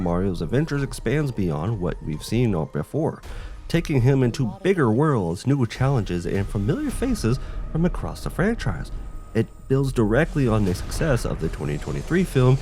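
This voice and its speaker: A man's voice: medium pitch, very animated, normal speed, a little mellow. A man co-hosting a video game show, reading a scripted presentation. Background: background music.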